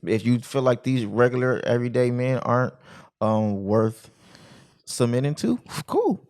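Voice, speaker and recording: A man's voice: mid-range voice, animated, unhurried, darkish tone. A man in his thirties in casual podcast chat, laid-back, repeating his phrases with loose slang. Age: 30s. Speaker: podcast co-host.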